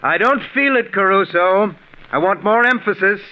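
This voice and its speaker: An actor playing an imperious, fault-finding show boss in a 1940s radio drama, curt and pompous. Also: male voice, high-pitched, lively intonation, normal speed. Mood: demanding. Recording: dull 1940s radio recording.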